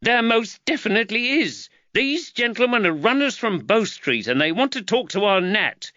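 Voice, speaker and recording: A man voicing an angry, shrill, middle-aged London mother who scolds and shrieks. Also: sing-song, normal speed, sharp tone, no room sound, dull audio, full character voice. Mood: indignant.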